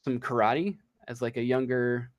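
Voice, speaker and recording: A man running a tabletop game as game master, improvising the narration haltingly as he thinks aloud. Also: mid-range voice, steady tone, dull audio.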